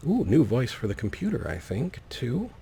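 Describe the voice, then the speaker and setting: A man's voice: medium pitch, huge pitch swings, normal speed, vocal fry, mellow. A man giving casual, playful gameplay commentary as a let's play host, rambling with wry asides. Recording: faint background noise.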